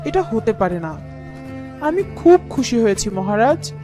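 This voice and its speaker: A woman's voice: a little high, sing-song, a little slow, mellow, soft background music. A woman voicing a humble, selfless elder queen in a fairy-tale cartoon, meek and earnest. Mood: devoted.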